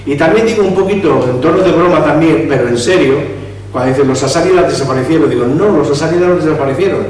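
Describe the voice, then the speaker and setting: A man's voice: on the high side, fast talker, darkish tone. A man gives a public history lecture. He talks discursively, restarts words and asks rhetorical questions. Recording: echoey hall, distant mic, faint hum.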